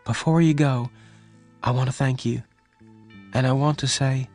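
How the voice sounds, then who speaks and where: A man voicing a shy, lonely young woman who speaks softly. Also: medium pitch, steady tone, measured pace, faint music behind, voice pushed up, close to an everyday voice. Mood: tender.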